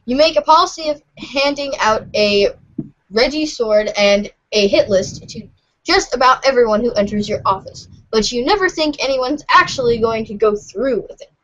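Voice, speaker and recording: High-voiced woman reading aloud, lo-fi sound. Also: moderate pace, fairly bright tone. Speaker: reader on a fan podcast. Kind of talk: animated read-aloud of webcomic narration. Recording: dull lo-fi voice recording.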